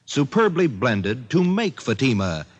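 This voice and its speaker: American guy doing old radio ad, bit husky, swingy emphatic pitch, vintage recording. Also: sing-song, a little slow. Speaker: commercial announcer. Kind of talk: 1950s radio cigarette advertising read, emphatic.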